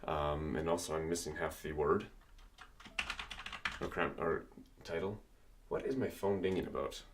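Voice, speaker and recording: A man's voice: extremely low pitch, fairly even intonation, unhurried, noisy background. A man streaming his music production at a home studio, chatting casually and thinking aloud while he works, with keyboard typing behind him. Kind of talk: casual livestream commentary.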